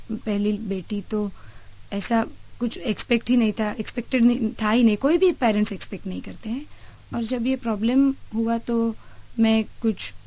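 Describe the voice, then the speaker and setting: An Indian woman speaks as an interview guest in a soft, mellow tone. Her voice sits a little high. She answers reflectively, moving between two languages. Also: rough recording. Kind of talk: reflective interview answers mixing Hindi and English.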